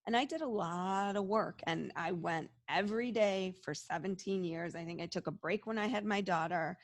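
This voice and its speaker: A woman's voice: mid-range voice, moderate pace, dry recording. A middle-aged woman speaking openly and reflectively as an interview guest, often restarting her sentences. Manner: open, reflective, many restarts. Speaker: interview guest, psychologist and medium. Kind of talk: casual interview talk.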